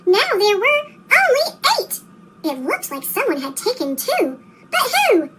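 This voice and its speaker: A storyteller with a pitched-up chipmunk effect, reading a children's story in an animated, expressive way for young listeners. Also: very noisy. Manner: animated, expressive, slow read-aloud delivery for young children. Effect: chipmunk-style pitched-up voice. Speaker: storybook reader. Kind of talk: scripted children's storybook narration.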